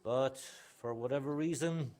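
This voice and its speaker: An actor playing a solemn guild member in a video game, formal and reflective. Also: male voice, mid-range voice, unhurried, dark tone, lightly acted. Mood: regretful. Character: solemn guild member recalling a lost colleague. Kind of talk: video game dialogue acting.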